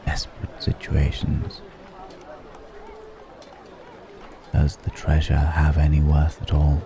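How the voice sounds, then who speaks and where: An extremely low, gravelly voice for a mysterious ancient dragon in the guise of a stranger, acted by a man. He speaks very slowly and intimately, weighing each phrase with long pauses, like a brooding philosopher in a fantasy ASMR roleplay. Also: heavily acted, poor audio. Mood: brooding, musing. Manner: deliberate, pensive, with long dramatic pauses. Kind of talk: ASMR roleplay, slow intimate dramatic monologue.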